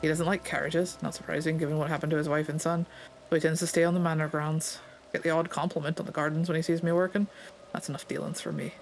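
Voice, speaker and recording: Player doing a wary scottish stable master, folksy and low-key, faint music behind. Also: little pitch movement, quick pace, vocal fry, natural voice, rough recording. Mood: plainspoken. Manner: folksy and understated.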